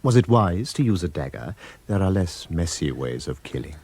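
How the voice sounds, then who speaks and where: An actor playing an urbane, knowing stranger in a radio drama, measured, dryly mocking and coolly probing. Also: male voice, low-pitched, very animated, a little mellow, slightly rough, heavily acted. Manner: measured, dry, politely mocking.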